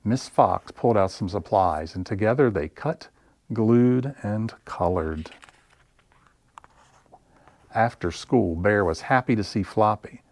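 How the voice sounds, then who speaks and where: A man hosting a library story time, reading picture books to young children in a deliberate, expressive way with pauses for effect. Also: fairly low pitch, no room sound. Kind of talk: picture-book read-aloud for young children.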